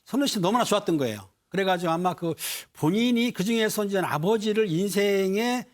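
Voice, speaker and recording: A man's voice: sits high, animated, brisk. A man giving explanatory news commentary as a studio panelist.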